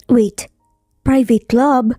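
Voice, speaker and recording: Anxious tender young mother, played by a woman, bewildered, fairly high voice, dry sound, audio storybook. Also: steady tone, extremely slow, mellow, dry recording, soft background music. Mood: startled.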